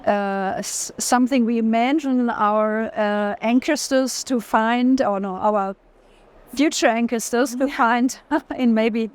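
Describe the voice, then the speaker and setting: A woman's voice: a little high, takes it slowly. A woman in an unscripted walking conversation about art, searching for words and rephrasing as she goes. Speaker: art collector in a gallery conversation. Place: art fair.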